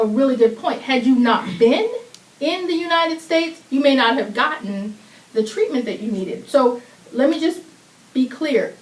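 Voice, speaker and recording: Upbeat high-voiced woman talk show host. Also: distant mic. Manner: upbeat, chatty, laughs with guest. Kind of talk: studio talk show interview. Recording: roomy echo, voice from off mic.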